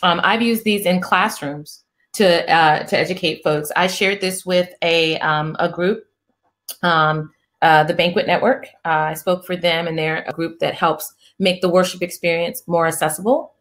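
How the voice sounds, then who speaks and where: Soft-spoken low-voiced woman host. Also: fairly even intonation, normal speed. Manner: rambling, restarts, soft soothing reading voice. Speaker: interview host, psychiatrist. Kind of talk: casual interview chat.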